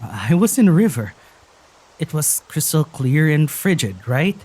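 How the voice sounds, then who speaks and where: A woman playing a weary, wry young traveller, recounting things in a halting, husky voice in an audio drama. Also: low-pitched, sing-song, dark tone, exaggerated voice. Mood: subdued recounting.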